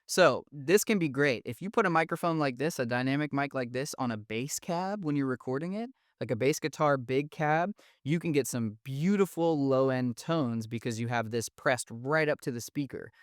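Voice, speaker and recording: A man with a smooth, clean voice and a thin, mid-range tone explains things in a laid-back, slangy way. He sounds enthusiastic and conversational. The recording is dry and close, with no room sound. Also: mid-range voice, moderate pace, hardly any pauses, dry recording. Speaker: audio engineering instructor. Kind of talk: casual explanatory lesson.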